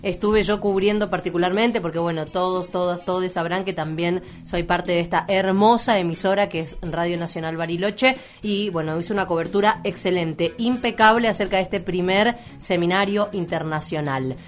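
A woman's voice: on the low side, normal speed, hardly any pauses. A woman with an Argentine accent hosting a radio show, chatting and then reporting at length on an event. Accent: Argentine Spanish. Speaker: radio host. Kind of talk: casual radio chat and report.

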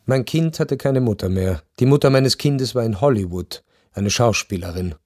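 A world-weary, melancholy baron, played by a man narrating a radio drama. His middle-register voice is measured and sombre, with music clearly behind it. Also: medium pitch, lively intonation, darkish tone, lightly acted. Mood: melancholy, detached. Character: melancholy, detached baron recounting his decline. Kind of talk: literary first-person narration in radio drama.

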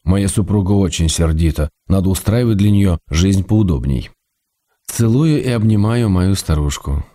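A middle-aged writer reading letters to his wife in a radio play. The voice is very low, husky and dark. He is measured and intimate, fond of her while gently telling her off. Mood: affectionate.

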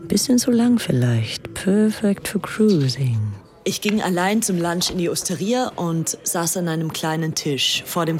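A woman's voice: sits low, background noise. An actress playing a rapturous, fanatical young English socialite of the 1930s in a gushing, girlish radio drama style. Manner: gushing, girlish, theatrically excited. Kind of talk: radio drama acting, breathy diary monologue. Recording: music behind the voice. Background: music.

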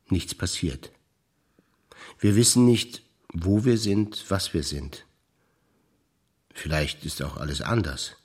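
An actor playing a reflective man recalling memories in a theatrical duologue, speaking in measured, short phrases that often trail off quieter. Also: deep voice, husky, exaggerated voice. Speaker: stage actor. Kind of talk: theatrical spoken monologue of memories.

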